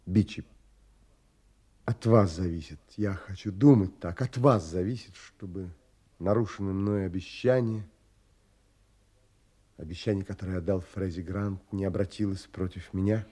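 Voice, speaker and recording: A man's voice: low-pitched, measured pace, long pauses, dark tone, breathy edge. An actor playing an earnest, troubled adventurer who tells his own story in theatrical, emotionally involved audio drama narration. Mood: troubled, subdued. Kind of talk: dramatic first-person literary narration.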